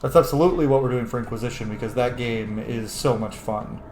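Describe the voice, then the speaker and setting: A man's voice: mid-range voice, brisk, a little mellow, a little hiss or hum. A man hosting a video game let's-play with chatty, theatrical, sweary casual commentary. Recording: roomy echo.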